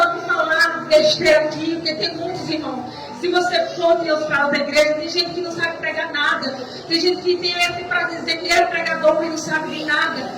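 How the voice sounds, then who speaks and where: Brazilian female church preacher, earnest, repetitive, halting exhortation; recorded far from the mic with clear background noise. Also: very high voice. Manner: earnest, repetitive, stammering delivery. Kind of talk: testimony sermon, exhortation. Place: church service indoors, distant microphone. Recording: echoey room, far mic, noisy.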